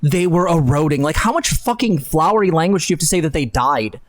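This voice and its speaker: A woman's voice: sits low, animated, fairly quick. Young woman, livestream co-host. Sardonic, profane, exaggerated emphasis, informal delivery. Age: young adult. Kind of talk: casual livestream chat. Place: bedroom, indoors. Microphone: close desk microphone on a boom arm.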